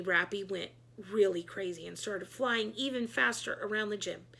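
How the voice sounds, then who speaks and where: A woman reading a children's book aloud as storyteller, in an expressive narrating style. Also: mid-range voice, gravelly.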